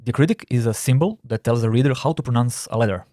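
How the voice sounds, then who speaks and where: A man's voice: medium pitch, fast talker, warm tone, no echo, clean studio sound. A man with a Croatian accent, a non-native English speaker, explaining typography terms in a careful recorded course narration. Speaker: typography instructor.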